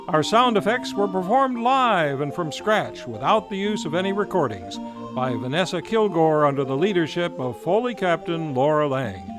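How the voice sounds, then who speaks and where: Male old-time radio announcer: high voice, formal showman delivery, music underneath.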